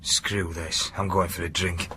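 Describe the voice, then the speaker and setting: A man's voice: sits low, flat delivery, unhurried, very noisy. A man acting in a radio drama as a bullying, contemptuous partner, sarcastic and belittling. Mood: sullen. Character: Colin, bullying, contemptuous, nitpicking male partner.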